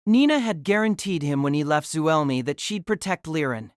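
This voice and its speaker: A man's voice: a little high, expressive, moderate pace, no echo, clean studio sound. A man reading scripted narration of a fantasy story.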